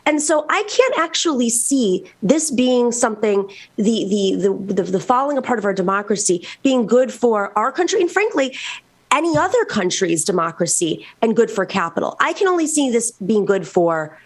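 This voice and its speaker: An American woman speaking off the cuff as a political panelist, often halting and repeating words as she forms her thoughts. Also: fairly high pitch, big pitch swings. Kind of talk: unscripted political discussion.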